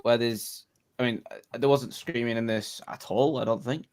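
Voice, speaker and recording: A man's voice: mid-range voice, steady tone, normal speed, a little mellow. A man co-hosting a music review podcast, chatting casually, swearing and rambling until he loses his train of thought. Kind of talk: casual chat.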